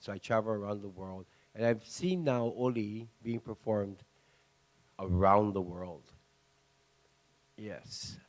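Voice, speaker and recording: A man's voice: medium pitch, darkish tone. Earnest man questioning on a panel. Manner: earnest, questioning, chants sounds to illustrate. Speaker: panel moderator. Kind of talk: panel discussion.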